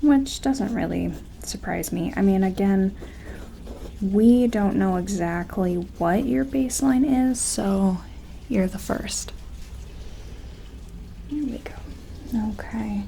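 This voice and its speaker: A woman speaks very softly and slowly, drifting into whispers as she plays a gentle clinician in an ASMR roleplay. Her voice has a noticeable creaky fry at an average pitch. She is tentative and reassuring as she goes, over faint background noise. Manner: soft-spoken, slipping into whispers. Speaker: ASMR performer playing a doctor examining an alien.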